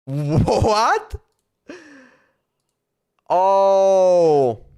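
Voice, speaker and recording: A man's voice: high-pitched, lively intonation, very slow, a little mellow, clean tone. A man livestreamer chatting casually in a slangy, exclamatory way as he reacts, often repeating himself. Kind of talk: casual reaction stream chat. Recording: background music under voice. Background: music.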